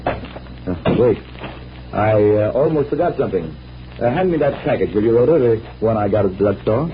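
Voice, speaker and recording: An actor playing a composed, mysterious stage magician and detective, with polished and deliberate speech in old-time radio style. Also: male voice, fairly low pitch, animated, lightly acted. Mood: calm, purposeful. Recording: muffled old radio recording, faint noise.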